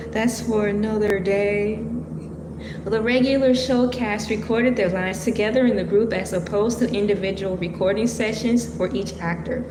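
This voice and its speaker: Slow, rambling woman in an echoey room. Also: mid-range voice. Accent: Southern US, Georgia-tinged. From Michigan, USA. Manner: rambling, playful, stops to comment on names. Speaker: vlogger reading about a cartoon. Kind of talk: casual reading and chatty asides. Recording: echoey room with long reverb and noise.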